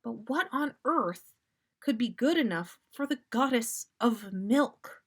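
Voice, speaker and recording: Slow-paced, expressive woman storyteller. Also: a little high. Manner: amused, expressive delivery. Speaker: podcast host. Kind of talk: livestream story reading, casual and theatrical.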